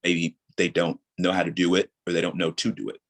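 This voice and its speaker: A man's voice: deep voice, completely flat delivery, brisk. A man in his thirties speaking as a guest in a casual interview, explaining his creative craft and repeating points for emphasis. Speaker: audio drama creator, podcast guest.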